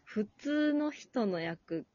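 Slow, hesitant woman in casual chat. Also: a little high, lively intonation. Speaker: amateur actor. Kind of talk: casual after-show chat. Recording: quiet, dull lo-fi recording.

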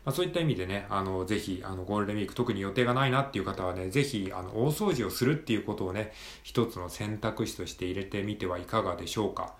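A man hosting a solo podcast, giving casual practical tips in a conversational way with frequent softening tags at the ends of phrases. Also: lowish voice, quick pace, few pauses, reverberant.

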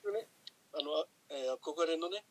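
A man appearing as a guest on an audio drama show, chatting casually in an animated, conversational way. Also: fairly high pitch, brisk, a crowd in the background. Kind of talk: casual chat.

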